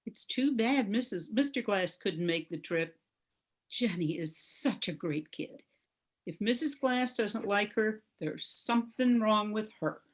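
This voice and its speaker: A woman's voice: mid-range voice, lively intonation, measured pace. An older woman playing a supportive, protective neighbor friend in an old-time radio soap. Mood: reassuring.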